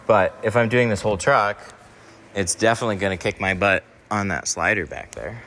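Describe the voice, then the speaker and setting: A man's voice: fairly low pitch, fairly quick, bright, forceful tone, a little hiss or hum. A man hosting a casual livestream, answering viewer questions while he tints a truck in a workshop. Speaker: window tint installer hosting a livestream.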